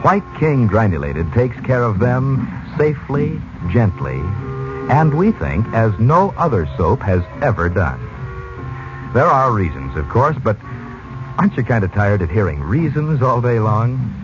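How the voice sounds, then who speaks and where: A man's voice: mid-range voice, very animated, a little slow. A man working as a radio announcer, reading a 1940s-style commercial in a folksy, persuasive salesman manner. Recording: dull lo-fi radio recording, music behind. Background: music.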